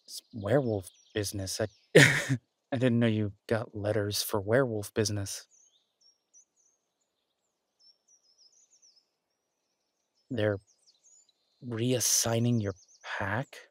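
A man's voice: fairly low pitch, fairly even intonation, a little slow, fairly bright tone, dry recording. A man playing a caring, easygoing boyfriend in an intimate audio roleplay, talking in a hesitant, reassuring, conversational way. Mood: affectionate, curious. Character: caring, easygoing human boyfriend of a werewolf woman.

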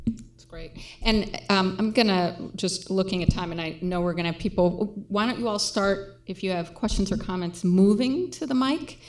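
A woman moderating a public conversation with a handheld mic, measured, with frequent fillers. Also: mid-range voice, a little mellow. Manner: measured, reads quotes aloud, many fillers. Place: lecture hall with audience.

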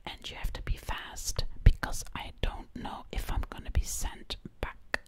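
A young woman with a Dutch accent whispers breathily, close to the mic, in a rambling ASMR style, repeating words over and over. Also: measured pace. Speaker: ASMR performer in a zombie princess role play. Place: dim living room indoors. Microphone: close to the mic, ear to ear.

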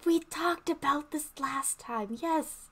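A woman plays a patient medic in a zombie survival game. Her voice sits a little high. She talks in a halting, conversational way, placating and exasperated by turns. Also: fairly bright tone. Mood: casual explaining. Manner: hesitant, sighing, placating. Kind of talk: improvised Minecraft zombie roleplay, conversational.

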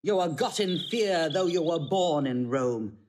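Fierce old Roman matron, low-voiced. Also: female voice, animated, unhurried, a little mellow. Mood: fierce, scornful. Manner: imperious, declamatory. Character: fierce, proud Roman matron, mother of a warrior. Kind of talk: classical Shakespearean stage acting.

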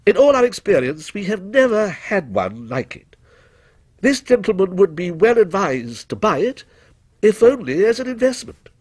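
A man's voice: sits high, big pitch swings, normal speed, a little mellow, husky, no echo, voice pushed up, exaggerated voice. A man voicing an earnest, formal salesman in a storybook reading, praising with emphasis. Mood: admiring. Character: earnest dark-browed male salesman endorsing his wares.

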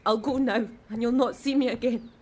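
An actress playing a distressed but defiant young Scottish daughter, impassioned and pleading in a radio play. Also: female voice, fairly high pitch, steady tone, a little fast, background noise, lightly acted. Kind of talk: radio drama acting.

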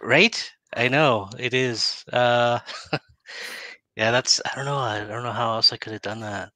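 A man in his forties hosting a casual online chat, speaking informally and haltingly, often trailing off, on a headset. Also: medium pitch, bright, forceful tone, no room sound. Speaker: talk show host. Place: indoor room, on a video call.

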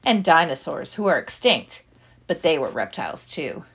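A woman reading a children's picture book aloud like a teacher, with deliberate, expressive phrasing for young listeners. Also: deep voice, slightly rough.

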